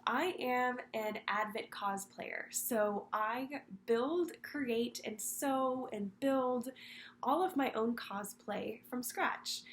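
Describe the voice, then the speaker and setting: Congested young woman, earnest and halting. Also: a little high, a little hiss or hum. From Florida. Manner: earnest, halting with repeated words. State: congested from a cold. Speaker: student candidate. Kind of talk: prepared personal video statement.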